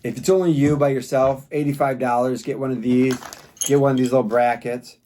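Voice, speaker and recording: A man's voice: medium pitch, fairly even intonation, brisk, mellow. A man giving a casual, unscripted product review in a plain-spoken, rambling, informal way. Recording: clear background noise with clicks.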